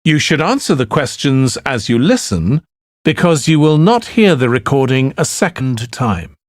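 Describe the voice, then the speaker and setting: Slow, formal male exam announcer. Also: medium pitch, sing-song, dry recording, clean studio sound. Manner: formal, deliberate. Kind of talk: scripted test instructions.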